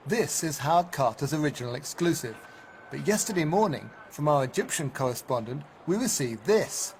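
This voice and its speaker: Businesslike, higher-voiced newsman. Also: lively intonation, normal speed, slight background noise. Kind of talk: video game cutscene acting.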